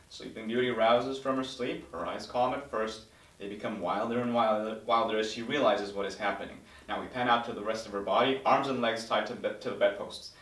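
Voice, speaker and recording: A man's voice: medium pitch, fairly bright tone. A man reading stage directions as scripted narration at a table read. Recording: roomy, distant mic.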